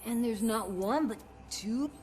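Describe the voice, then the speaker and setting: A woman's voice: a little high, unhurried, close to an everyday voice. Spacey, starstruck young woman played by an actress. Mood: dreamy, gushing. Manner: spacey, gushing. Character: dazed, starstruck young female drug user. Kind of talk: video game voice acting. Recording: music behind the voice.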